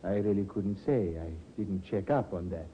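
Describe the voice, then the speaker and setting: An actor playing a smooth-talking male tavern keeper under suspicion in a vintage radio drama, polite, evasive and defensive. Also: low voice, moderate pace, lightly acted. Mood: politely guarded. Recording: muffled, hissy 1950s radio recording.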